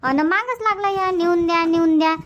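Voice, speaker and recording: A woman's voice: very high-pitched, normal speed, fairly bright tone. A woman voicing a grumbling, talkative village grandmother in a cartoon comedy, with a Varhadi Marathi accent, on a lo-fi recording. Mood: exasperated. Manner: chatty, complaining, repetitive. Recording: dull, lo-fi, faint noise.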